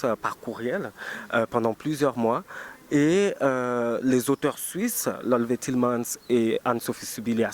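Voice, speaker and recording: A man speaking as a writer in an unscripted live interview, halting as he breaks up phrases and repeats words for emphasis. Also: medium pitch. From Cameroon. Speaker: writer being interviewed at a book festival. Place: busy book festival venue. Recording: noisy event ambience. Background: event noise and ambience.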